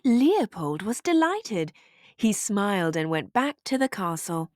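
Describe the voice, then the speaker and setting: A woman narrating a children's storybook aloud in an expressive, deliberate way. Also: mid-range voice, no room sound, studio quality.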